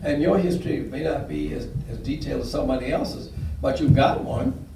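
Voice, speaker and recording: A man's voice: mid-range voice, wildly swooping pitch, fairly quick, gravelly. An older man giving a public talk and taking audience questions. He rambles as he tells stories, with frequent fillers. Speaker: guest speaker. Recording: echoey room, distant mic, faint noise.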